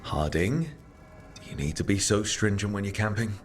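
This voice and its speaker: An actor playing an easygoing young adventurer in a video game, speaking casually with affectionate, playful teasing. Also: male voice, lowish voice, moderate pace, faint music behind, natural voice. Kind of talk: video game cutscene acting.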